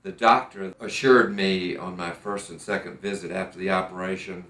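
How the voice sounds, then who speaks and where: American guy, really low voice, telling his patient story slow and careful, lots of restarts, roomy echo. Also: fairly even intonation, fairly bright tone. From United States. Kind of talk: personal testimonial. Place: doctor's office.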